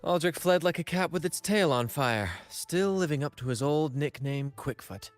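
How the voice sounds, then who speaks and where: Flamboyant, lively, high-voiced male showman hero by an actor. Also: moderate pace, faint music behind, close to an everyday voice. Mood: amused, teasing. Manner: theatrical, teasing. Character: flamboyant, cocky young male showman fighter. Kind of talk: video game hero acting.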